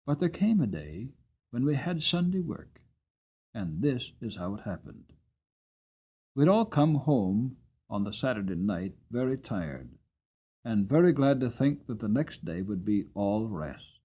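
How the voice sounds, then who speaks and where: A male audiobook narrator tells a classic story with dramatic expression. His delivery is measured, with lively rises and falls in pitch. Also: husky, lo-fi sound.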